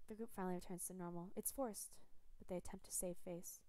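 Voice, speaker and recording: A woman streamer reading game narration aloud in a playful, hushed storytelling manner. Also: on the low side, fairly even intonation, quick pace, no room sound.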